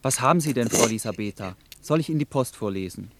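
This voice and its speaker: An actor playing a polite, solicitous male care intern in a radio drama, speaking briskly. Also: medium pitch, expressive, noisy background, lightly acted.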